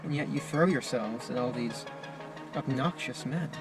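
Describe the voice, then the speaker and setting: A man's voice: medium pitch, normal speed. A young man giving a monologue to camera. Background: clear music.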